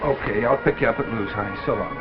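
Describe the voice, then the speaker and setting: An actor playing an anxious, weak-willed husband, hesitant and earnest, in old radio drama style. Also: male voice, fairly low pitch, fast talker, over music, natural voice. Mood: reassuring. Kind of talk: 1950s radio drama acting. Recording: dull old radio recording.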